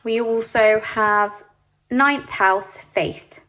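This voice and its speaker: A woman giving a pick-a-card tarot reading, sniffly from hay fever, speaking gently and reassuringly to the viewer. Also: fairly high pitch, very slow. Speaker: tarot reader.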